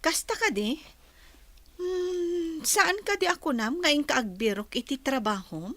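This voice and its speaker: A woman's voice: sits high, expressive, a little slow, fairly bright tone, no echo, heavily acted. A woman with an Ilocano accent playing a sincere young graduate in a radio drama. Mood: curious.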